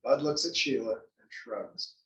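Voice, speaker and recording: A man's voice: medium pitch, a little slow, a bit bright. A man reading scripted stage directions as the narrator at a play table read. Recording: echoey room, distant mic.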